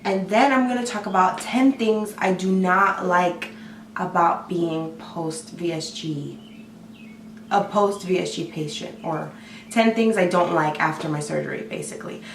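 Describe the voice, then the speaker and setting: A woman vlogger, hoarse from a cold, chatting excitedly to camera with lots of fillers. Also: on the low side, measured pace, echoey room, recorded from a distance, a little hiss or hum, poor audio. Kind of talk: casual talk to camera.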